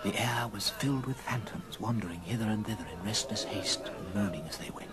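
Low-voiced male radio drama narrator. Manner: measured, theatrical. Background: music.

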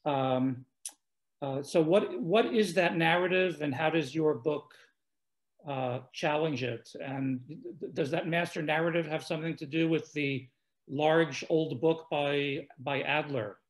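Hesitant older man interviewer, higher voice. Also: measured pace, rough recording. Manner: hesitant, many fillers and restarts, courteous. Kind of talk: webinar interview. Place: home room by a lake. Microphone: video call.

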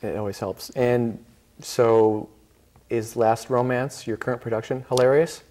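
Mid-pitched man hosting a casual interview. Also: fairly even intonation, a little slow, mellow, a little hiss or hum. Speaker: talk show host. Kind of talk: casual interviewing, short prompts. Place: TV studio, seated at a table.

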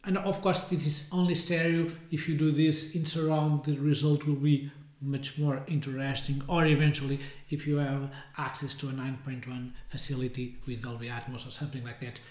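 A man in his thirties giving an informal, hesitant software demonstration, often rephrasing as he goes. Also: mid-range voice, flat delivery, moderate pace, hardly any pauses. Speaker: software tutorial presenter. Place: indoors, small room with window blinds. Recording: roomy, echoey indoor sound.

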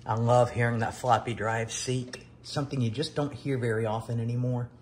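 A man's voice: mid-range voice, steady tone, darkish tone. Middle-aged man with noticeable background noise. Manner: halting, pausing mid-sentence. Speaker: retro computer vlogger. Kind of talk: casual unscripted show-and-tell. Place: dining room at home, indoors.

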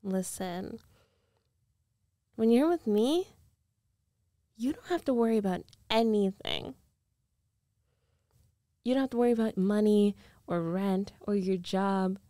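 A woman's voice: medium pitch, slow, long pauses, mellow, dry recording, studio quality, lightly acted. A woman playing a rich, possessive ex-girlfriend in an intimate ASMR roleplay, coaxing and self-assured with a condescending edge. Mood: coaxing, controlling. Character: rich, domineering, possessive young ex-girlfriend coaxing her lover back. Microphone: close microphone, dry.

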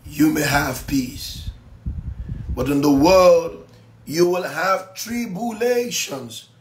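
Slow, emphatic preaching man. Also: medium pitch, echoey room. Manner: slow, emphatic preaching that swings from soft to loud. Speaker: pastor. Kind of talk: morning Bible teaching, reading and explaining verses. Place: small office room with blinds, indoors. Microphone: phone camera close up.

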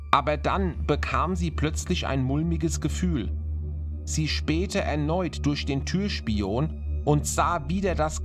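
Male narrator for scary tales, slightly higher voice, unhurried dramatic delivery, prominent music underneath. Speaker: horror story narrator. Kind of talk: scripted horror narration in German.

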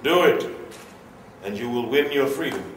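A man playing a noble gargoyle leader, solemn, commanding and deliberate, in a live radio play. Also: medium pitch, expressive, natural voice. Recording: echoey distant live hall, slight noise.

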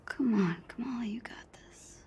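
A woman's voice: on the high side, normal speed, darkish tone, natural voice. A young woman playing an eager, restless American teenage girl who mutters to herself in a video game. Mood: excited muttering. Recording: clear ambient noise.

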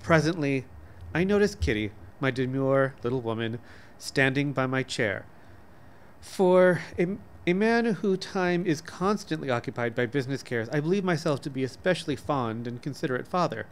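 A middle-aged man reading a short story aloud, haltingly, sometimes stumbling over words. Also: medium pitch, expressive. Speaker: reader. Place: small office indoors. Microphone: desk microphone, close.

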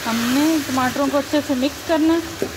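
A woman, calmly explaining each cooking step as a recipe voice-over. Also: high-pitched, moderate pace, background noise. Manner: calm, instructive, points things out to viewer. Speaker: cooking channel host. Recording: loud frying sizzle behind voice.

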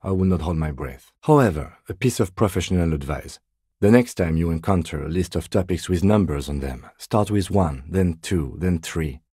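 A man playing a composed, meticulous police lieutenant detective in a video game, speaking in a precise, deadpan, politely reserved way. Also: extremely low pitch, husky, no room sound, studio quality. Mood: dry, matter-of-fact. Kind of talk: video game character dialogue.

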